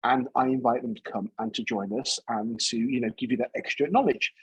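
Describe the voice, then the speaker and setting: A man's voice: medium pitch, steady tone, brisk, slightly rough, low-quality recording. A British man hosting a coaching community's livestream, talking in a chatty, rambling, upbeat way. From United Kingdom.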